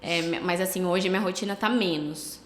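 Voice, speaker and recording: A Brazilian woman with a slight cold, a travel agency owner chatting casually as a podcast guest, relaxed and full of fillers. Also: fairly low pitch, brisk. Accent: Brazilian Portuguese. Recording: echoey room with long reverb.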